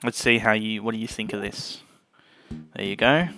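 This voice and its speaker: A man's voice: lowish voice, fairly even intonation, moderate pace, pushed and bright. A man giving casual let's play commentary on a video game as its host. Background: game music.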